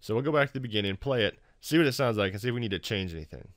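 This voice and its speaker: Low-voiced man narrating a casual tutorial. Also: animated, very fast, no echo, studio quality. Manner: informal, self-deprecating, wry. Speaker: tutorial host.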